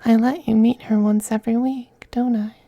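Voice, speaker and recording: A man playing a possessive, teasing femboy vampire in an intimate ASMR roleplay, speaking softly and breathily, often in a whisper, with coaxing, condescending tenderness. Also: extremely high pitch, flat delivery, normal speed, no echo, lo-fi sound. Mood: veiled threat.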